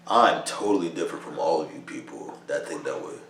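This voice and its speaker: Man in his thirties, very low voice, slangy casual rant, echoey room, off-mic. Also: expressive, fairly quick, a bit bright, far from the mic, slight background noise. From New York. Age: 30s. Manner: loose slangy talk, frequent "bro" and swearing. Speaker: vlogger, army soldier. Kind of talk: casual rambling monologue. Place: army barracks room.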